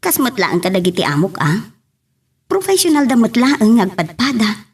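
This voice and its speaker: A woman's voice: on the high side, sing-song, normal speed, slightly rough, far from the mic, exaggerated voice. A woman acting an exuberant, chatty friend in an audio drama, with an Ilocano Filipino accent. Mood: curious. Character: exuberant, chatty female friend working as household help.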